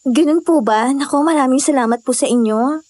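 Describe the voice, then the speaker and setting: A woman's voice: sits high, brisk, a little mellow, no echo. A woman playing a polite, cautious young climber in horror audio drama dialogue. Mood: grateful, cautious.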